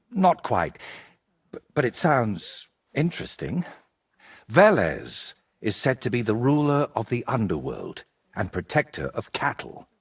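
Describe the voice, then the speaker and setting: A vain dreamer painter from a medieval game, lively pitch, slightly husky, theatrical. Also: male voice, full character voice. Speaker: painter character. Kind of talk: video game cutscene dialogue.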